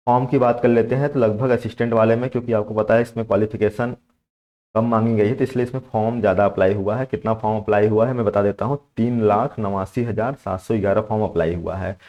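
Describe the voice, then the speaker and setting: Low-voiced young man coaching. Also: a little mellow. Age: young adult. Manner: explanatory, repeats points, frequent check-in tags. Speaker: exam coach and channel host. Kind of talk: informal instructional talk to students.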